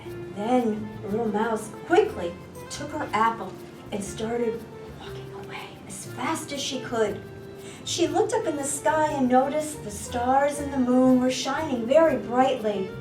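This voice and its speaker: A woman's voice: on the high side, echoey room, far from the mic, rough recording. A woman storytime reader narrating a children's story expressively, drawing out words for suspense. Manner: drawn-out words, gasps for suspense.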